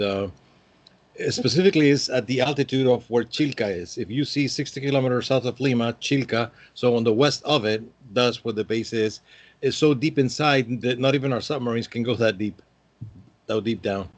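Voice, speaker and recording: A man in his fifties from Peru. He sits as a guest in a relaxed interview, and his voice sits at an ordinary middle pitch. He rambles along with plenty of "um"s and restarts. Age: 50s. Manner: rambling, hesitant, many fillers. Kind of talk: casual interview talk.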